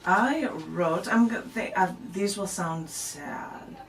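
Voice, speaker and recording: A woman's voice: mid-range voice, slow pace. A woman poet on a radio show, reading her poems and chatting casually about them as a guest. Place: radio studio, recorded on a camera. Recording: noisy, distant camera recording in radio studio.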